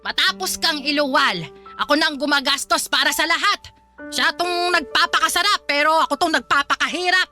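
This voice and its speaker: A woman's voice: high voice, fairly quick, pushed and bright, soft background music. A woman playing a harsh, strict, religious old grandmother who nags and preaches in a radio drama. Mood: scolding. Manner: scolding, nagging, preachy.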